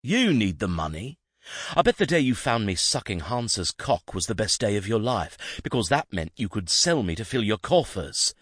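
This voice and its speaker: A man voicing a bitter, sarcastic young nobleman with a husky voice. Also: lowish voice, sing-song, moderate pace, fairly bright tone, dry recording, studio quality, voice pushed up, full character voice. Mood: scornful. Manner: sarcastic, scornful.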